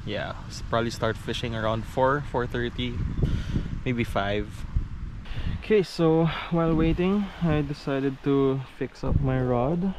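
Slow-talking man on a noisy beach. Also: mid-range voice. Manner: relaxed, thinking aloud, mixing English with Filipino. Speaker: vlogger. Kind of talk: casual solo camping vlog. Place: outdoors on a beach campsite. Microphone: action camera on the body. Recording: noisy outdoor action-camera audio.

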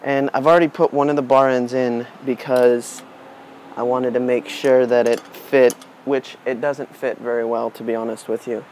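A man's voice: medium pitch, moderate pace. A man in his twenties giving a casual, unscripted how-to demonstration while working with his hands. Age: 20s. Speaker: DIY tutorial presenter. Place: outdoors on a wooden porch. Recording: outdoor camera audio with steady background noise.

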